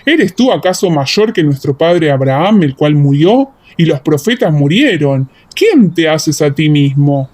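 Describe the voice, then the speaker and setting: Woman voicing stern, low, slow accusing elder. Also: sing-song, mellow, heavily acted. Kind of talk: audiobook radio-theatre dialogue.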